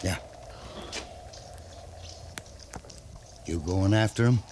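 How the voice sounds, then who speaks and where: A man's voice: sits low, brisk, darkish tone, natural voice. An actor playing a sober, plain-spoken frontier cavalry scout who defers to his officer in a 1950s western radio drama. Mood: somber. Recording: noisy 1950s radio recording.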